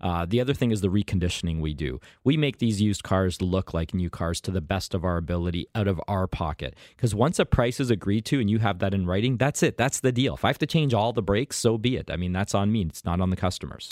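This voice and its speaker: A man's voice: sits low, fast, hardly any pauses, a little mellow, no room sound, studio quality. A man appearing as a guest on a radio talk show, talking up his car brokering with confident, emphatic sales talk. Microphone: studio microphone.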